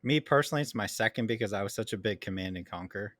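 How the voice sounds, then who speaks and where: Low-voiced teasing male co-host. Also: fast talker, a bit bright, no echo, studio quality. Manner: teasing, chatty, many ums. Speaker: podcast co-host. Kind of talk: casual podcast chat.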